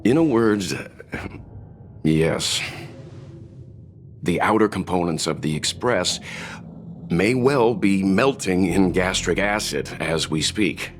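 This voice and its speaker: An actor playing a composed senior crewman in a video game, grave and deliberate. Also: low voice, expressive, a little hiss or hum. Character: steady, composed senior crewman, a mature man.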